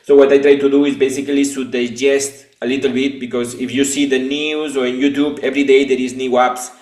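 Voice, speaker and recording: A man's voice: mid-range voice, flat delivery, darkish tone. Man hosting a webinar, echoey room. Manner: halting, frequent restarts and tag "no?". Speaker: webinar host and business coach. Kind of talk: live webinar conversation. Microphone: video call.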